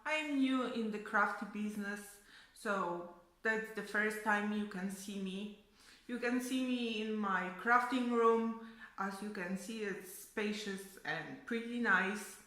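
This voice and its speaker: A woman's voice: medium pitch, slow, sharp tone, low-quality recording. A woman in her thirties from Poland with a Polish accent, talking through a card-making project in her home craft room, with many hesitant fillers. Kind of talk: casual crafting tutorial. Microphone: fixed camera, seated facing it.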